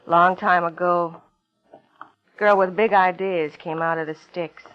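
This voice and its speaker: A woman's voice: fairly low pitch, steady tone, slow. An actress playing a bitter, world-weary young woman in an old radio drama, wry and vulnerable. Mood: wry, confessional. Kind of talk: 1950s radio drama acting. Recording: dull old radio recording, music underneath.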